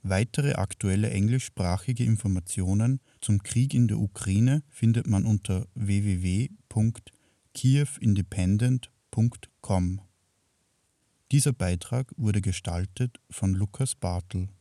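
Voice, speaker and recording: A man's voice: on the low side, measured pace, warm tone. A man reading scripted news narration as a radio reporter.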